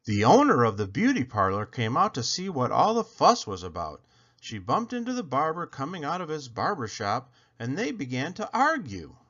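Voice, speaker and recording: A man reading a children's picture book aloud, expressively and with dramatic pauses. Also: medium pitch, wildly swooping pitch, a bit bright, muffled recording. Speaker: read-aloud storyteller.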